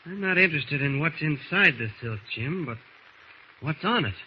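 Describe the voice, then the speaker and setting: An actor playing a calm, patient, intent reporter hero in a 1940s-style radio serial. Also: male voice, on the high side, moderate pace, natural voice. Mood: calm, purposeful. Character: calm, kindly grown-up male reporter hero investigating. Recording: muffled, noisy old radio recording.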